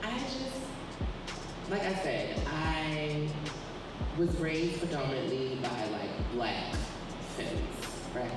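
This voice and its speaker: Artist on a panel, reflective, halting yet emphatic, distant mic, strong echo and long reverb. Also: mid-range voice. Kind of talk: personal, reflective panel talk. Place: roomy hall at a live event. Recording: echoey hall, far mic, music behind.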